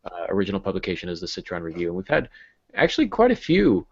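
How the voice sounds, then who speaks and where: A man's voice: low voice, wildly swooping pitch, fast, creaky, a little hiss or hum. A man in his thirties chatting as a podcast co-host over a video call from a room at home. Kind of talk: casual podcast discussion. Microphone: earbuds on a video call. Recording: dull, compressed video-call audio.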